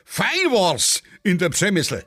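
A man playing a pompous, imperious emperor in a radio play, with a raspy, creaky voice and a commanding manner. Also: high-pitched, wildly swooping pitch, takes it slowly, pushed and bright, heavily acted. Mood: jovial, condescending.

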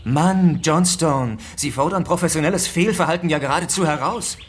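An actor playing a dogged police sergeant in a German radio drama, probing, blunt and sarcastic. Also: male voice, fairly high pitch, moderate pace, a little hiss or hum, close to an everyday voice. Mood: outraged.

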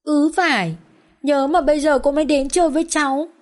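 Woman putting on a high eager little girl. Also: fairly even intonation, brisk, natural voice. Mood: pleading. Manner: coaxing, pleading child speech. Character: small cheerful girl, eager and clingy.

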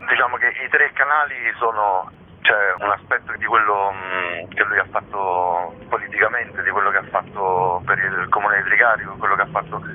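Low-voiced man on a tinny phone line. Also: steady tone, slight background noise. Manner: hesitant, with frequent drawn-out hums while thinking. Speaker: theatre company director interviewed about a show. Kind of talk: explanatory interview answers.

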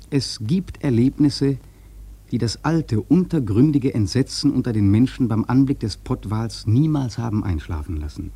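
An actor playing a haunted whaling sailor, narrating a literary radio play in a solemn, dramatic manner with deliberate phrasing. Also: male voice, on the low side, warm tone, slightly rough, no echo. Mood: awed solemn.

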